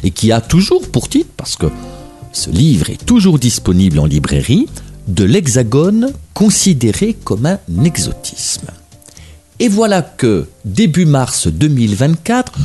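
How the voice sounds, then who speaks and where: A man's voice: medium pitch, mellow, husky. A man hosting a literary radio programme, interviewing a guest with probing questions and some fillers. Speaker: radio host.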